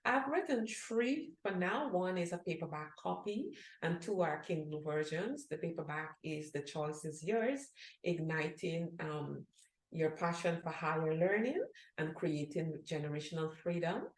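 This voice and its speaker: A woman's voice: deep voice, lively intonation, unhurried. A middle-aged woman from Guyana, an author answering interview questions in an earnest way. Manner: earnest, reads a book blurb aloud. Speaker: author and guest. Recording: echoey room.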